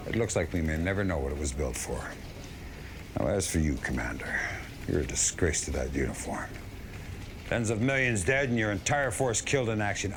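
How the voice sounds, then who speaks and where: Casting: stern military general for game cinematics, plain-spoken and gruff, deep and animated in pitch. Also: male voice, noisy background. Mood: grave, weary, then bitter contempt. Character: gruff, blunt military general addressing his commander. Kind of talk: dramatic game cutscene acting.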